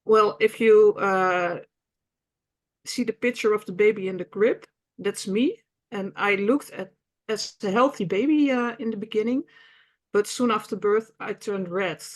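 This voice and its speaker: A woman in her fifties with a Dutch accent presents to an online webinar in English, which is not her first language. She talks at a middle pitch, pausing often with fillers and restarting her words as she goes. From Netherlands. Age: 50s. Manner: hesitant, many fillers and restarts. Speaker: patient representative.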